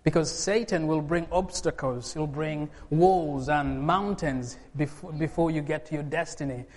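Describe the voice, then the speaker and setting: A man in his forties, from Uganda, preaching to a congregation. His fairly high voice climbs into emphatic, laughing bursts. It rings with the long reverb of a church hall. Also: measured pace, darkish tone. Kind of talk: sermon.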